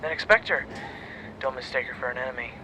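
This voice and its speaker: Guy doing a fed up blunt lookout, medium pitch, clear traffic noise behind, audio drama. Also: quick pace, natural voice. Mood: exasperated. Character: exasperated male stakeout lookout talking to his assassin partner. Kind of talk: audio roleplay drama acting. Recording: noisy outdoor city traffic ambience.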